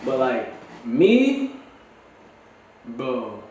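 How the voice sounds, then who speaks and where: A man's voice: mid-range voice, huge pitch swings, very slow, a little mellow. Congested man in an echoey room. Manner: loose, slangy, restarts often. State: congested, dry throat. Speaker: vlogger. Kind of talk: casual rambling monologue. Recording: very echoey room, mic at a distance.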